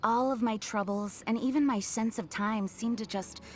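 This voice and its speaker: A woman voicing an earnest, dutiful young knight commander in a video game, speaking in a formal, measured way. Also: a little high, faint music behind, lightly acted. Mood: earnest resolve. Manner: formal, measured, solemn diction. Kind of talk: video game character voice lines.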